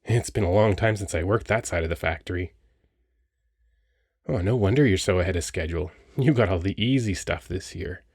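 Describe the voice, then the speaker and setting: Man playing a husky, playful Christmas elf. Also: low voice, a little fast, darkish tone, no room sound, studio quality. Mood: chatty, earnest. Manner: playful, teasing, bubbly, chatty. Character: cheerful, flirty male Christmas elf toymaker. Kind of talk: romantic audio roleplay addressed to listener.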